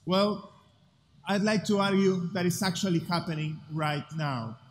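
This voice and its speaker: Argentine man presenting on stage, earnest and measured, faint room hum. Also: high-pitched. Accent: Argentine Spanish. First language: Spanish. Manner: earnest, deliberate with rhetorical pauses. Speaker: speaker at a future festival. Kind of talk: conference talk. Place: large hall at a festival. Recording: live hall with long reverb, faint ambience.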